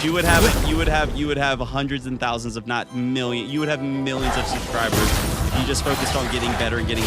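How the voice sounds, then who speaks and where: Guy in his 30s live streaming a game, chatting with chat, mid-pitch voice, game music going behind him. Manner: chatty, upbeat, reads chat aloud and talks to viewers. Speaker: gaming streamer. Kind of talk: casual live stream chat while gaming.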